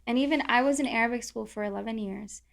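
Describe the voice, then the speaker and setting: A woman's voice: a little high, fast, creaky. A young adult woman from Southern California, chatting casually and with self-deprecating humor as a guest in a roundtable talk. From Orange County, California. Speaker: content creator, podcast guest.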